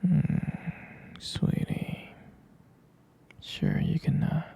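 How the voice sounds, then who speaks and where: A man playing a tender but delusional, possessive captor in an intimate ASMR roleplay, coaxing in a breathy, gravelly whisper close to the microphone. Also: dark tone. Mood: coaxing, menacing. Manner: coaxing, slow, cooing, insistent.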